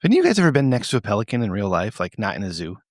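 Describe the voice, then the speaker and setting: A man's voice: on the low side, huge pitch swings, rapid-fire, darkish tone, no echo, clean studio sound. A man co-hosting a comedy podcast, ranting casually and irreverently with plenty of swearing. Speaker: podcast co-host.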